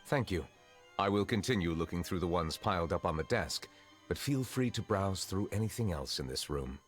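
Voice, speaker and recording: A man voicing a courteous, reserved, centuries-old chief justice in a video game, speaking formally and with measured composure. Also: low voice, animated, soft background music. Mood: calm assurance. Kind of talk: English video game dub acting.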